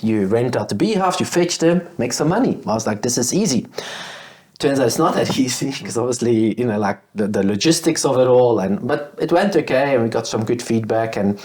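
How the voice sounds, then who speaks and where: A man's voice: mid-range voice, a little mellow. Chatty man telling his story. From Belgium. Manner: chatty, acts out past conversations with many fillers. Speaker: guest, beekeeping business owner. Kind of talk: casual interview storytelling.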